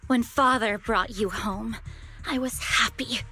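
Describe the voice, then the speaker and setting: A woman's voice: a little high, sharp tone. An actress playing a bitter, grieving young woman raised in a cult, wounded and resentful, in a dramatic video game scene. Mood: bitter, wistful. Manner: slow, deliberate, wounded and resentful. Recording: music behind the voice.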